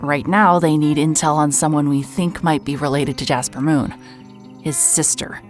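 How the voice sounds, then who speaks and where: An actress playing a hard-boiled, grudging private investigator in a mystery audio drama, exasperated and sarcastic. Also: female voice, sits low, steady tone, moderate pace, faint music behind, natural voice. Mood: eager, guarded.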